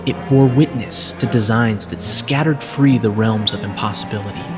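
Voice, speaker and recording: Male narrator, low register, solemn and measured dramatic story reading, music clearly underneath. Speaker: narrator reading fan fiction.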